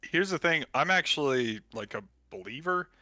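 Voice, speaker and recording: A man's voice: fairly high pitch, expressive, normal speed, bright, forceful tone. A young married man in a casual group chat, thoughtful and a bit apologetic. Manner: thoughtful, apologetic, self-deprecating.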